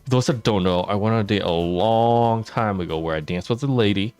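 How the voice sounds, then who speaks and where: A man telling stories on a live stream while he plays a game, chatting with his viewers and laughing often. His loud voice is held down for the mic. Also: on the low side, a little fast, smooth, faint music behind. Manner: chatty, laughs often, reads and answers chat. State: mouth watering after dental work. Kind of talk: casual live-stream storytelling while gaming.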